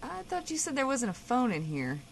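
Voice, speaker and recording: An actress playing a blunt, teasing, bossy guest co-host who swears freely in a comedic audio drama. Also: female voice, fairly high pitch, big pitch swings, normal speed, creaky, very noisy. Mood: insistent.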